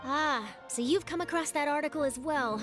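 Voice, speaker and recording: A woman voicing a calm, composed young woman at a boutique in a video game. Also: high-pitched, moderate pace, music in the background, natural voice.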